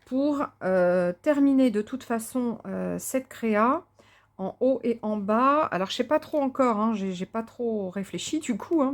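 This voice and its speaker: A woman vlogger chatting casually while she crafts, thinking aloud with many fillers and restarts, enthusiastic and sometimes muttering to herself. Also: a little high. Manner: enthusiastic about colours; talks to herself softly while working.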